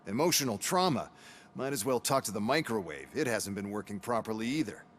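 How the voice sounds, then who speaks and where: A man's voice: on the low side, lively intonation, fairly quick, fairly bright tone. An actor playing a sardonic, dismissive man in a video game, with a dry, mocking delivery. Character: sardonic, dismissive man, scornful of human feelings.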